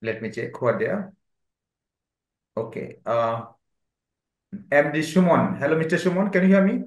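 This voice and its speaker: A man's voice: on the high side, animated, moderate pace. A man teaching an online spoken English class, calling on students and praising their answers. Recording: roomy echo.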